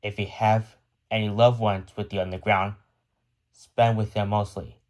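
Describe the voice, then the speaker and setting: Guy acting as a grave doomsday news announcer, kinda low voice, formal scripted emergency broadcast. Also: flat delivery, measured pace, natural voice. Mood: solemn, regretful. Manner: solemn, formal.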